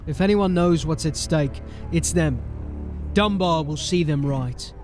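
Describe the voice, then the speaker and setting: An actor playing a gruff, resolute sergeant of a fantasy city watch in an audio drama, giving clipped, commanding orders with dry sarcasm. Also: male voice, on the high side, unhurried, darkish tone, soft background music, natural voice. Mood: grave, determined.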